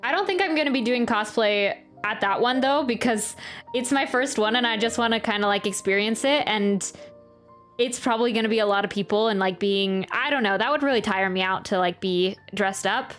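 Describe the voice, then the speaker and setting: A woman's voice: mid-range voice, brisk. A woman streamer reacting to a game and chatting casually, rambling and often interrupting herself. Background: soft game music.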